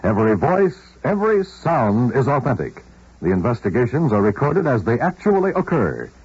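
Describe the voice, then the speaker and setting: A man's voice: medium pitch, sing-song, moderate pace, a little mellow, breathy edge. An American man formally and emphatically announcing a scripted 1950s radio programme. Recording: dull vintage radio recording.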